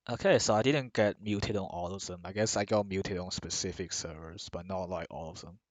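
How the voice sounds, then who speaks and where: A young man from Sweden speaks English with a Swedish accent. His voice sits a bit low, and he trolls other players in a smug, mock-formal tone. The sound is dry and close, recorded at a gaming desk. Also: a little fast, no room sound. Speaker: streamer. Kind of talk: trolling game-chat banter.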